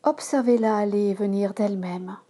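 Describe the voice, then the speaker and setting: A woman yoga instructor leading a guided relaxation in a calm, measured manner, giving instructions in short phrases. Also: mid-range voice. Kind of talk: slow guided relaxation meditation.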